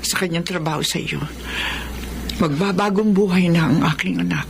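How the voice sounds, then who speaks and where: A woman's voice: fairly low pitch, moderate pace, creaky, noisy background. An actress playing a devout older mother in a Filipino radio drama, hesitant, earnest and emotive. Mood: earnest pleading. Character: devout, anxious, pleading elderly mother. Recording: music behind the voice.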